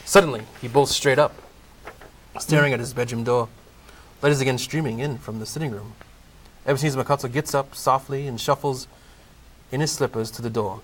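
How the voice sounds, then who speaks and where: A man's voice: mid-range voice, a little fast, pauses often. A man narrating a comedic Christmas audio play from a script, in storybook style. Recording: clearly noisy amateur recording.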